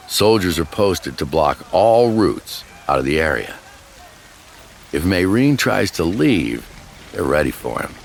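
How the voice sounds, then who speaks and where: Very deep-voiced man narrating true crime. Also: vocal fry, a little hiss or hum. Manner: suspenseful storytelling. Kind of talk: dramatic scripted documentary narration. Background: background music.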